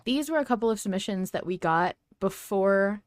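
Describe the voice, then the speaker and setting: A woman hosting a fan podcast, chatting in an expressive, emphatic way. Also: medium pitch, moderate pace, dry recording. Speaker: podcast host. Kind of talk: enthusiastic fan podcast chat.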